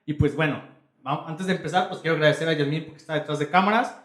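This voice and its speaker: A man's voice: fairly high pitch, fast talker, fairly bright tone. A man hosting a conversational podcast interview, hesitantly repeating phrases as he searches for words. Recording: echoey, roomy sound.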